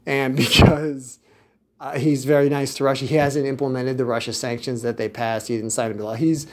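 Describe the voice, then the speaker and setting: A man's voice: medium pitch, a little mellow. Rambling, joking man ranting casually. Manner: rambling, joking, laughs often, restarts mid-sentence. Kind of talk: casual rant.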